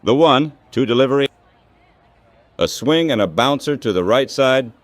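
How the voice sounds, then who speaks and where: A man calling baseball play-by-play in measured, clipped phrases, like scripted radio commentary. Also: mid-range voice, animated, no echo.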